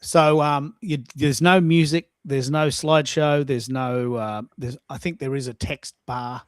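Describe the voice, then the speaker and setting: A man's voice: medium pitch, fairly even intonation, a little slow, no room sound, clean studio sound. A man hosting a casual live-stream chat, informal, rambling and blunt in his way of talking. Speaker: host, social media coach.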